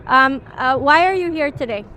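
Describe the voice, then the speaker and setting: Woman reporter, slightly high voice, casual filler-laden questions. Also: animated, normal speed, sharp tone. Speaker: interviewer. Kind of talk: street interview questions.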